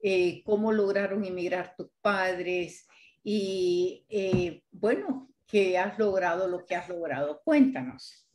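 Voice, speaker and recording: Unhurried older woman interviewer. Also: lowish voice, darkish tone. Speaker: host interviewer. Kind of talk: casual interview questions. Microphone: video call.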